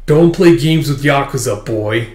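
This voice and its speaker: A man's voice: mid-range voice, a little slow, a little mellow, close to an everyday voice. A man as a sneering yakuza father in an echoey room. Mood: menacing. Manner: taunting, mock-casual threats. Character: menacing yakuza father, sneering and protective of son.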